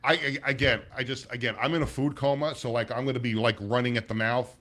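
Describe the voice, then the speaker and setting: A middle-aged man hosting a casual sports talk show, his voice a little raspy, rambling with many restarts and sounding sluggish. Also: mid-range voice, level tone, fast talker, a bit bright. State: sluggish, low energy. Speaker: sports radio host.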